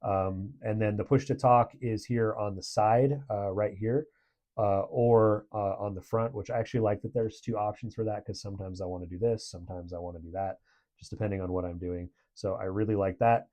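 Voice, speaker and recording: A man's voice: lowish voice, steady tone, brisk, dark tone. A man giving a casual product review in a conversational, approving way.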